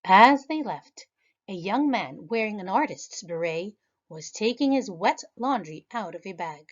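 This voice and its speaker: A woman, a preschool teacher, reading a children's picture book aloud in a lively, expressive way. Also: measured pace, dull audio. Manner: animated, high voices for characters. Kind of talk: children's storybook read-aloud.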